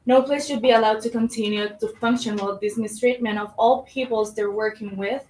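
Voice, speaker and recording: A woman playing an earnest, determined labor official, delivering a formal, measured monologue in a staged reading. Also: on the high side, steady tone, a little mellow, slight background noise, natural voice. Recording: echoey room.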